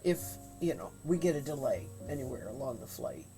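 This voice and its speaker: A woman with a head cold chatting casually on a livestream while she spins yarn at home, rambling with many fillers and halting pauses. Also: rumbling low, sing-song, rough recording. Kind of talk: casual chat while spinning. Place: home craft room. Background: faint quiet music.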